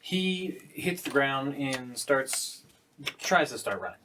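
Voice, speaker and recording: A man's voice: medium pitch, lively intonation, slow, sharp tone, slight background noise, rough recording. A man improvising narration as the game master of a casual tabletop role-playing session.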